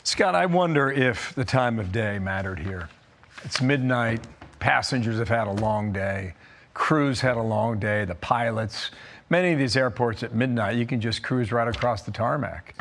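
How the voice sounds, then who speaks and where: A man's voice: mid-range voice, normal speed, fairly bright tone, a little hiss or hum. A man anchoring the news and putting questions to a guest in broadcast style.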